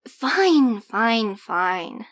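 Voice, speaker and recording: A woman's voice: a little high, drawn-out words, heavily acted. A woman playing a playful, cheeky young alien woman who is impulsive and doting, in a scripted fantasy audio roleplay. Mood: playful, gushing. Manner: teasing, expressive.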